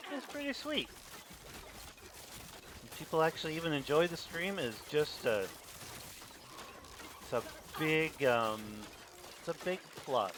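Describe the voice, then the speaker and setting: A man streaming a game, chatting in a relaxed, rambling way. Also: mid-range voice, background noise. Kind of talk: casual commentary while gaming.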